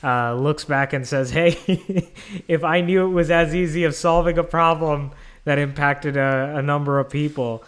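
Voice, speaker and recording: A man's voice: on the high side, fairly even intonation, unhurried, smooth. A man hosting an interview podcast, asking long, rambling questions full of restarts. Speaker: podcast host.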